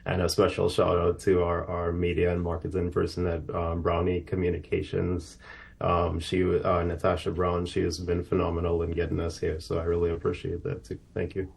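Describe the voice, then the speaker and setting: A man's voice: low voice, monotone, normal speed, vocal fry. A man from Trinidad and Tobago, a guest in a live TV interview who answers earnestly and reflectively, with plenty of fillers. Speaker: aerospace professional guest.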